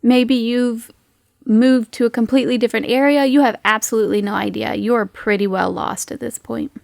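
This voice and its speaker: High-voiced woman running a tabletop game. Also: fairly even intonation, normal speed, creaky, no room sound, studio quality. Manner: giggly, conversational, playful. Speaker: game master. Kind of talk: casual improvised narration of a tabletop roleplaying game.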